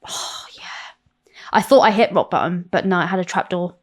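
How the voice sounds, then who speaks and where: A woman in her thirties with an English accent, a guest on a podcast who tells stories about her life in an animated, swearing, self-mocking way. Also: fairly low pitch, moderate pace. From South London. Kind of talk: candid interview chat.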